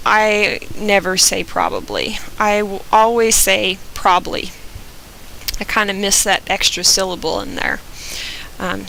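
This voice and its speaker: A young woman from Georgia with a softened Southern accent, chatting casually through an accent tag video with frequent "um" fillers. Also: mid-range voice, a little hiss or hum, low-quality recording. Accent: Southern US, softened by years in Oregon. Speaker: vlogger.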